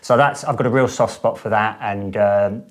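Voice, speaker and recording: A middle-aged man who owns a guitar amp studio, chatting in an interview in a relaxed, enthusiastic way with lots of fillers and restarts. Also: lowish voice, moderate pace. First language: English. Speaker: boutique amp studio owner. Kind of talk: casual interview chat.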